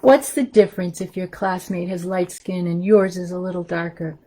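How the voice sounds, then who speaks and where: A woman's voice: lowish voice, fairly even intonation, moderate pace, dark tone, poor audio. A woman hosting a story time for young children, reading aloud and chatting casually in simple, encouraging language.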